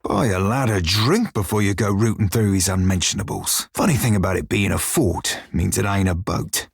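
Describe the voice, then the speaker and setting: An actor playing a gruff, wry pirate shiphunter in a video game, with a rough-edged voice and folksy sailor dialect. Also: male voice, low-pitched, moderate pace, no room sound, studio quality, exaggerated voice. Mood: wry, brash. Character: salty blue-furred pirate shiphunter, former slave, gruff and wry. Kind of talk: video game character dialogue.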